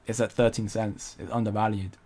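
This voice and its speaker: A man's voice: fairly low pitch, flat delivery, fairly quick, warm tone. A young man hosting a casual crypto livestream, rambling and hesitant with frequent restarts while he reads out viewer comments. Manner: rambling, hesitant, many restarts, laughs often.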